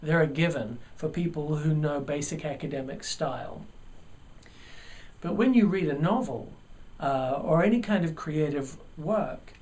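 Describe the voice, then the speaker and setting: A man's voice: on the high side, a little mellow. A man with a New Zealand accent, a college writing teacher, explaining the basics of grammar in a video lesson with earnest emphasis.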